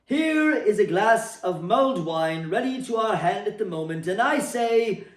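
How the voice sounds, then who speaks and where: A man's voice: high-pitched, lively intonation, measured pace, voice pushed up. A man doing a hearty young toastmaker. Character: jolly young man toasting his uncle. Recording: roomy, distant mic.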